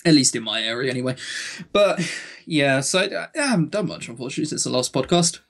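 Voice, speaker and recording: A man's voice: mid-range voice, lively intonation, fast, vocal fry. A man of twenty-two co-hosting a podcast in casual, joking chat, with tongue-in-cheek, self-deprecating banter. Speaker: podcast co-host.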